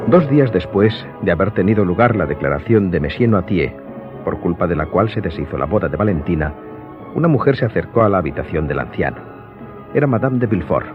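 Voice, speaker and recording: A man with a very low voice reads scripted narration for a radio drama. The recording is a little dull, and soft music plays behind him. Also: lively intonation, a little fast.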